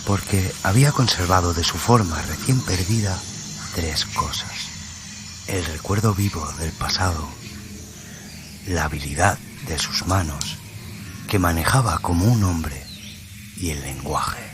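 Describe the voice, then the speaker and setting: A man narrating a story in a dramatic radio-play style, solemn and suspenseful, with phrase endings that drop to a hush. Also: sits low, a little slow, background noise. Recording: music bed under the voice. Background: music.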